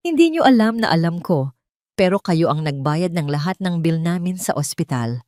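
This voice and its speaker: A woman's voice: lowish voice, animated, moderate pace, a little mellow, no room sound, studio quality, natural voice. A woman voicing a humble, grateful, loyal old man, a former driver, earnest and emotional in an audio storybook. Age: older.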